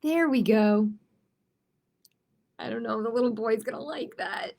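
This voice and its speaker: A woman's voice: a little high, a little slow, gravelly, darkish tone. A woman hosting a children's storytime, reading picture books aloud and chatting expressively and directly with young listeners. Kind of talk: children's read-aloud with casual chat.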